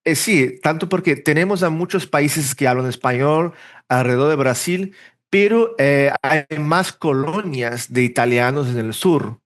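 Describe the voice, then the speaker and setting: A man from Brazil, a native Portuguese speaker, being interviewed in a relaxed way, thinking aloud with restarts and fillers. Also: a little high, unhurried. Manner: rambling, self-correcting, thinks aloud with fillers. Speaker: interpreter and language teacher, podcast guest. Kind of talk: casual interview conversation.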